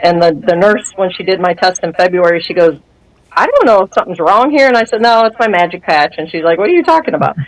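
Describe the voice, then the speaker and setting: A woman's voice: on the low side, quick pace, rough recording. A woman in her fifties telling her story in a casual interview, acting out what people said and laughing often. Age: 50s. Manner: animated storyteller who acts out quoted dialogue and laughs often. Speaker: guest sharing a testimony.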